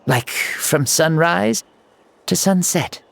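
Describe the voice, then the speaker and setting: A man's voice: fairly high pitch, lively intonation, slow pace, vocal fry, a bit bright, heavily acted. An actor playing an ancient hero in a boy's body for a video game, with a playful, theatrical, chatty manner. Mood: breezy, teasing. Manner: chatty, whimsical, dramatic storytelling. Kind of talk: scripted video game dialogue.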